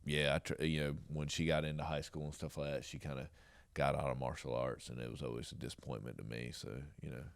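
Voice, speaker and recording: Very deep booming Southern man. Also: flat delivery, quick pace, vocal fry, few pauses. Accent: Southern US, Georgia. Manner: rambling, chuckling, lots of fillers. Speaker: podcast guest, jiu jitsu coach. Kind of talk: casual interview storytelling.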